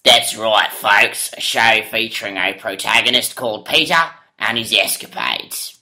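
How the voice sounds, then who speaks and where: A woman's voice: very deep voice, unhurried, bright, forceful tone. A woman announcing a comic puppet show in a theatrical intro style.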